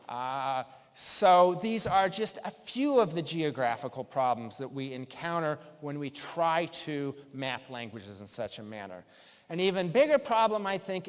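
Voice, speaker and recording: Man lecturing in a reverberant hall. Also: mid-range voice. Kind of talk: conference lecture.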